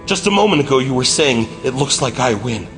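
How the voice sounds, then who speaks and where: A man's voice: medium pitch, animated, fairly quick, fairly bright tone, soft background music, natural voice. An actor in an anime fan dub playing a stern, grimly accusing male investigator.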